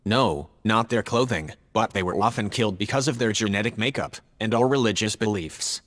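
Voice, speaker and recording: A man playing a logical, earnest male artificial intelligence in an audio drama, speaking in a measured, factual, formal way. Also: on the low side, a bit bright, in a large hall, close to an everyday voice. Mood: earnest, matter-of-fact. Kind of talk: scripted audio drama dialogue.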